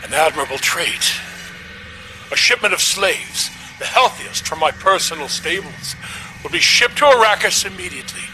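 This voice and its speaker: A villainous older baron from a game cutscene, his voice pitched very high for a man. He is pompous, gloating and cruel, lording it over everyone with theatrical scorn. Clear background noise sits under the voice. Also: lively intonation, normal speed, pushed and bright, exaggerated voice, rough recording. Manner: pompous, imperious, mocking. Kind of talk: video game cutscene acting.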